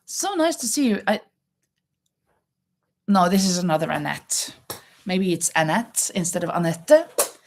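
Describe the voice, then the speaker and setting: A woman's voice: fairly low pitch, big pitch swings, measured pace, long pauses. A Norwegian woman with a Norwegian accent, chatting casually to viewers as the host of a livestream. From Norway. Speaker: live stream host, crocheter.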